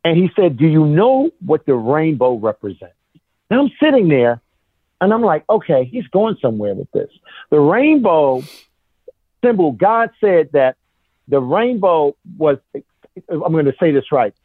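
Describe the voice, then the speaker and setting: A man's voice: fairly high pitch, sing-song, measured pace, no room sound, narrow, tinny audio. A man in impassioned conversation, emphatic and rhetorical, leaning on repeated phrases.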